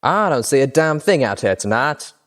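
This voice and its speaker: A man's voice: mid-range voice, sing-song, moderate pace, fairly bright tone, voice pushed up. A man voicing a blunt male pegasus mercenary who talks in a folksy twang and curses casually. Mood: brash.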